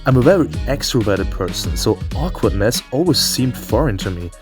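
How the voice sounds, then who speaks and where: Man doing animated, sweary game review over music. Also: medium pitch, moderate pace. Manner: theatrical, profane, playful. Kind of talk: comedic scripted review commentary. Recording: music behind the voice.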